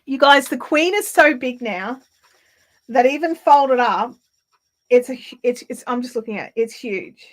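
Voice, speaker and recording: A woman hosting a casual craft livestream, chatting in a rambling way with lots of fillers and restarts, her throat slightly raspy. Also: high voice, unhurried. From Australia. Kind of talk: casual live chat while spinning. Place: home craft room.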